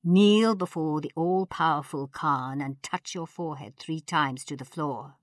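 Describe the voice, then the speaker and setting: A woman's voice: low voice, measured pace, no echo. A woman voicing a pompous male court secretary in a storybook, declaiming commands with reverent self-importance. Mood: haughty.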